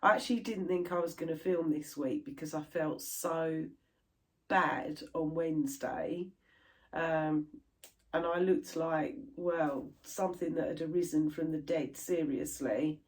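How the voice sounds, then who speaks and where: A middle-aged British woman chatting casually to camera about her crafts, sounding stuffy and a little croaky from a chest infection. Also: sits low, steady tone, unhurried. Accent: British English. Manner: chatty, rambling, laughs easily. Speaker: cross stitch vlogger.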